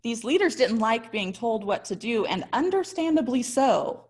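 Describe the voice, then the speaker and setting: An American woman in her thirties giving a prepared but conversational sermon as a pastor, with expressive, articulate storytelling. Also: on the high side, normal speed, breathy edge. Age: 30s. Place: small indoor room.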